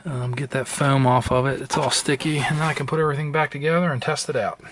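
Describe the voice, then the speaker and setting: A man's voice: mid-range voice, steady tone, fast talker. A man giving casual, matter-of-fact hands-on narration, thinking aloud as he works inside a car. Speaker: vlogger installing a car CB radio. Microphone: handheld camera microphone. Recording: clear background noise, clicks.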